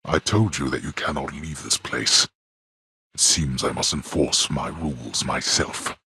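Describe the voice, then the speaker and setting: A grave, imperious lord of the dead speaks in a very deep, rough, husky voice. He lectures with cold, formal contempt and booms out commands, recorded dry and close in a game studio. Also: unhurried, bright, forceful tone, no echo, exaggerated voice. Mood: cold stern. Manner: cold, formal, commanding; bellows when summoning. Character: stern, imperious male god of the underworld, a father. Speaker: boss character in a video game.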